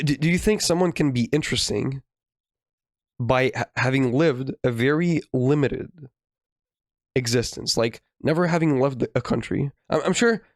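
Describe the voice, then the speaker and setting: A man's voice: mid-range voice, no room sound, clean studio sound. Young man reasoning aloud on a podcast. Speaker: podcast co-host. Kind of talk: casual philosophical discussion.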